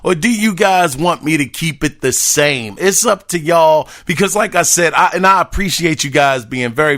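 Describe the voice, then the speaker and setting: A man hosting a casual gaming news podcast, talking loosely to his listeners with a hoarse, raspy voice. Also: on the high side, pushed and bright. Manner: loose, chatty, addresses listeners as brothers and y'all. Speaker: podcast host.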